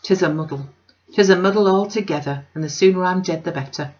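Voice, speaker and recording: A woman's voice: on the low side, brisk, darkish tone, muffled recording, close to an everyday voice. A woman voicing an earnest, distressed working-class male weaver who speaks in broad dialect, in a storybook reading. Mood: despairing. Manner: earnest, broad Northern English dialect speech.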